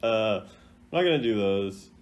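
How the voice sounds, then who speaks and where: A man giving casual, chatty commentary on game voice lines in an animated, playful way. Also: fairly low pitch, normal speed. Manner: animated, acts out imagined quotes in exaggerated voices. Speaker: gaming content creator.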